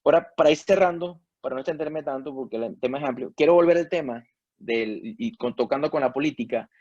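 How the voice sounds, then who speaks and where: A Venezuelan man speaks at a middle pitch, working through his ideas slowly with frequent fillers and restarts. The sound is dry and a little dull, as if from a modest mic setup. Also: darkish tone, no echo. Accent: Venezuelan Spanish. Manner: thoughtful, hesitant, many fillers and restarts. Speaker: panel guest. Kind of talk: reflective panel discussion.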